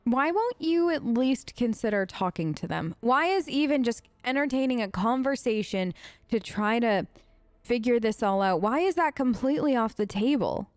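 A woman's voice: fairly high pitch, animated, moderate pace, dry recording. A woman hosting a role-play let's play, talking casually and informally as she improvises in character.

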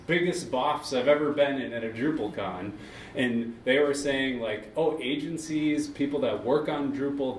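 A man on a discussion panel talking casually, quoting others in quick asides. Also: mid-range voice. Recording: roomy, distant mic, faint noise.

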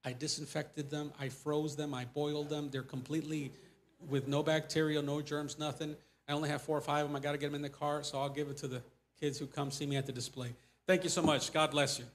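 A man in his forties with a Mexican accent speaks as a visiting missionary pastor in a church sanctuary, picked up by a lapel mic. His voice sits somewhat high for a man. He talks in an animated, casual way, climbing in pitch and volume when he stresses a point. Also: a little fast, slight background noise. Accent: Mexican Spanish. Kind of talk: casual church presentation with questions. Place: church sanctuary before a pulpit.

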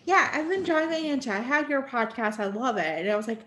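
A woman's voice: sits high, a little fast, a bit bright. A woman hosting a podcast in a casual, chatty interview style, gushing and effusive. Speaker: podcast host.

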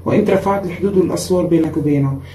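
Woman tarot reader, tired voice, emphatic repeats, dark tone, faint room noise. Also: fairly low pitch, reverberant. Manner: repetitive and emphatic, with frequent pauses and fillers. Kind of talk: casual tarot reading for viewers. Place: indoor room at a table with cards and a candle.

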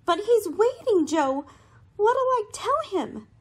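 Sweet young sister, woman actor: high, lively, slow-spoken, concerned, radio-play delivery. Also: exaggerated voice. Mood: pleading. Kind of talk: radio drama acting.

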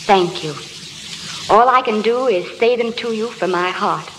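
A woman's voice: mid-range voice, a little slow, fairly bright tone, natural voice. Earnest young actress played by a woman, crackly recording. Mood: earnest, moved. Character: sweet, anxious young actress devoted to troubled husband. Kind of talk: 1930s radio acting. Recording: noisy, crackling old radio recording, long reverb.

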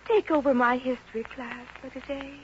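Timid lovelorn schoolmarm played by an actress, very high voice, plaintive, dull old radio sound. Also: female voice, fairly even intonation, fairly quick, slight background noise, natural voice. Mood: anxious. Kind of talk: 1950s radio comedy acting.

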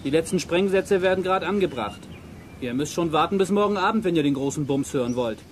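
An actor playing a gruff, bossy quarry worker in a children's audio drama, curt and commanding. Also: male voice, a little high, expressive, fairly quick, a little hiss or hum, natural voice. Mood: gruffly warning.

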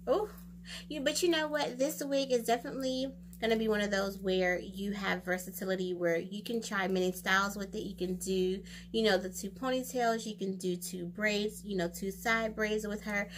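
A young American woman chatting casually and expressively to camera in a product review, with drawn-out exclamations. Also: medium pitch, fairly even intonation, slight background noise. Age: young adult. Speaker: beauty vlogger and wig reviewer. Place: small room indoors.

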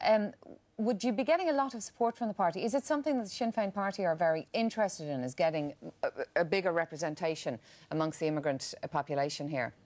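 Female TV presenter, Irish accent, fast talker, slightly low voice, live panel interview style. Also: a little mellow. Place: TV news studio, indoors.

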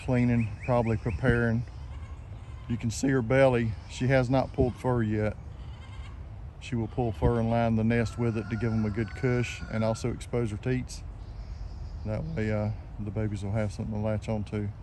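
A man with a relaxed Southern US accent talks his way through backyard chores in an easygoing, folksy manner. His voice sits at an ordinary middle pitch, with steady outdoor background noise running under the recording. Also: normal speed. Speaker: homesteading vlogger. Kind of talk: casual narration of backyard chores.

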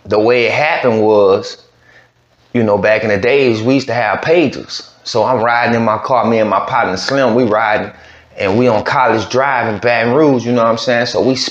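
Man, streetwise reaction-show host, loose slangy rambling, roomy muffled home-studio sound. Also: fairly low pitch. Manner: slangy, rambling with constant "you know what I'm saying". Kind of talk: casual commentary. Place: home studio with green-screen backdrop. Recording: roomy, slightly dull sound.

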